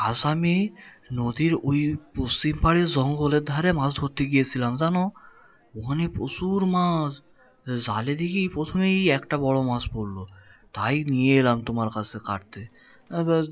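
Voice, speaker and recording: A man's voice: medium pitch, normal speed, dull audio, lightly acted. A man playing a bumbling, good-hearted boatman in a cartoon story, explaining things earnestly. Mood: chatty. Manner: explanatory, stumbling over words. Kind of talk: Bengali cartoon story dubbing.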